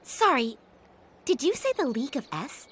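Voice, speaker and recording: A woman's voice: high voice, sing-song, moderate pace, husky, exaggerated voice. A woman voicing a bossy, sassy catgirl innkeeper in a video game, with a teasing, wry manner. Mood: helpful. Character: sassy, bossy female innkeeper catgirl, older than she looks. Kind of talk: animated video game cutscene acting.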